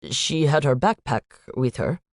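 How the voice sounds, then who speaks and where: A polite, soft-spoken young man with a questioning lilt, his voice dropped low, as a woman reads him. Dry, close audiobook recording. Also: lively intonation, unhurried, no echo, heavily acted. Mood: concerned.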